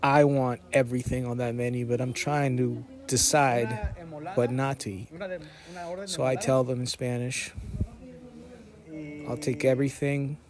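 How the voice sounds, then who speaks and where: Deadpan man with a flat delivery. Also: mid-range voice, measured pace, a crowd in the background. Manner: deadpan, jokey. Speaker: food vlogger. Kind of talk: narrated vlog voice-over, casual storytelling.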